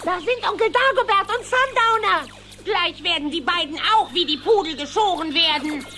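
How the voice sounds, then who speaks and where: A woman playing a cocky, excited boy duckling in a German cartoon radio play. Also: extremely high pitch, normal speed. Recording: music behind.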